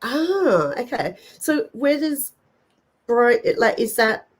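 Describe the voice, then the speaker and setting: A woman's voice: on the high side, sing-song, slow pace, husky. A woman hosting a live online show, chatting casually with viewers while getting over a cold, her voice stuffed up, with lots of fillers and small laughs. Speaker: host. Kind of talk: casual live-stream chat.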